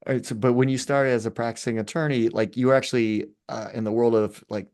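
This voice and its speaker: A man's voice: medium pitch, fairly even intonation, fast, a little mellow. A man hosting a podcast, asking conversational questions. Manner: conversational, curious. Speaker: podcast host. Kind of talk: interview questions.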